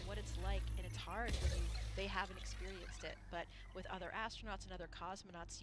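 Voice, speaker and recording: A woman's voice: on the low side, very noisy. A woman, speaking as an astronaut guest in a reflective, explanatory style. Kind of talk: reflective explanatory talk on a live broadcast. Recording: broadcast audio with music behind. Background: music.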